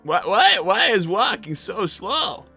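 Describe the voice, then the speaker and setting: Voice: mid-range voice, sing-song, takes it slowly, music in the background. A performer voicing a flustered young boy fox cartoon character, stammering and repeating words while hosting a game livestream. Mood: flustered, puzzled. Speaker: streamer hosting a live show.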